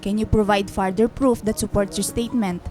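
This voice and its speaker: A woman's voice: mid-range voice, steady tone, moderate pace, warm tone, natural voice. A woman playing a formal, businesslike human resources officer in a radio drama. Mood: formal, serious. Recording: music behind the voice.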